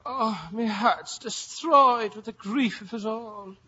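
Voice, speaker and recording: A sly Irish villager in an old radio comedy, piercing yet raspy. He puts on mournful airs with hammy hesitation, heard through a dull 1950s recording. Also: sits high, slow, bright, forceful tone, heavily acted. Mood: mock grief. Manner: hammy, hesitant mock-sorrowful talk. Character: sly Irish villager feigning grief over sudden inheritance.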